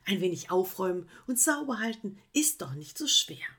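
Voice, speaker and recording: A woman voicing a fussy, indignant female koala who scolds in a shrill, insistent way. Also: big pitch swings, normal speed, a bit bright, husky, exaggerated voice. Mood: lecturing.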